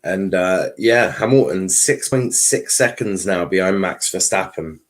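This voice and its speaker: A man's voice: deep voice, unhurried. A man hosting a livestream watchalong, giving casual race commentary with informal, sweary, joking asides.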